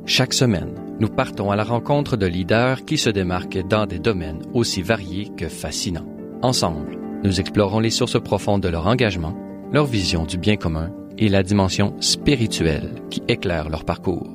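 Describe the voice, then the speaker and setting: A radio host with a fairly low voice asks questions in a friendly, hesitant way. Faint music plays softly under his voice. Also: normal speed. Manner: friendly, hesitant with many euh. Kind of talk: radio interview.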